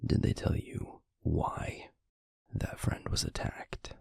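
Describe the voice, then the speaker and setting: A man playing a stern, guarded werewolf pack alpha in an audio roleplay, speaking formally and deliberately in a gravelly, husky voice. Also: darkish tone, dry recording, whispered, clean studio sound, natural voice. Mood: grave, measured. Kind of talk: scripted audio roleplay acting.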